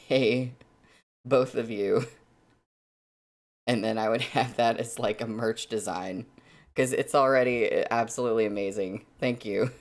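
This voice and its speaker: A readalong host speaking on a livestream, moving between casual chat and narrating a novel. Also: medium pitch.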